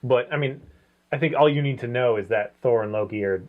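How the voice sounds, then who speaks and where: A man's voice: medium pitch, a little fast. A man co-hosting a comics review show in a casual, conversational style. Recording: dull lo-fi sound.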